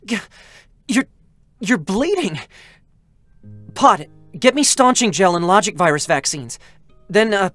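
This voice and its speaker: A man's voice: high-pitched, animated, takes it slowly, long pauses, a bit bright, no echo, exaggerated voice. An eager, boyish android scout, light and youthful for a male voice. He talks earnestly and openly, with a hesitant, emotional streak, in a dramatic game-story reading over music. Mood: alarmed.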